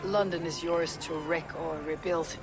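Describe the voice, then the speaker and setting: An actress playing a stoic, battle-hardened Viking warrior in a video game, speaking in a terse, firm, measured way. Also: female voice, sits low, steady tone, over music. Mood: wry, sincere. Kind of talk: dramatic video game cutscene acting. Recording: noisy ambience behind.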